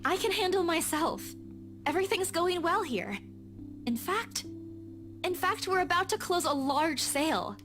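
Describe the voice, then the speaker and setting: High-voiced earnest young woman. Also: lively intonation, a little slow, music in the background. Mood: reassuring, tender. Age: young adult. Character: earnest young Filipino woman, hardworking, anxious, reassuring daughter. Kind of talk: visual novel game voice acting.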